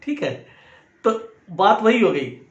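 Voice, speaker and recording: A man with an Indian accent giving an explanatory lesson in a measured way as a music teacher. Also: high voice, big pitch swings, muffled recording. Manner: measured, demonstrates points with acted example phrases. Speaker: music teacher and video host. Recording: roomy, echoing sound.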